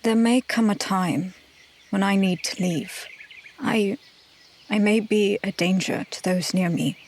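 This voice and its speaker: A woman playing a guarded, haunted adventurer in tabletop roleplay, speaking in a measured, hesitant, grave way. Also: mid-range voice, a little mellow, a little hiss or hum, natural voice. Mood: guarded, pleading.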